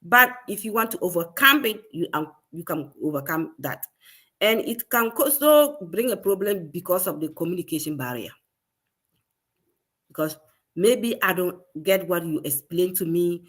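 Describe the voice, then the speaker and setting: Husky-voiced Ghanaian woman, earnest. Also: lowish voice, expressive, normal speed, fairly bright tone. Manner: earnest, repeats herself, many restarts. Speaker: guest. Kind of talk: casual conversation about business.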